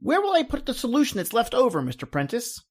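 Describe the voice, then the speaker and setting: Male actor as eager young assistant; high, very lively, husky, deferential, radio-play style. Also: quick pace, heavily acted. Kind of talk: old-time radio acting.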